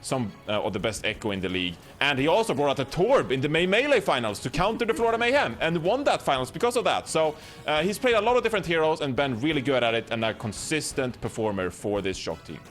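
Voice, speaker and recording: Lively male esports analyst, pitch swinging widely, faint music bed. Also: quick pace, hardly any pauses. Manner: animated, emphatic. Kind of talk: live esports desk analysis.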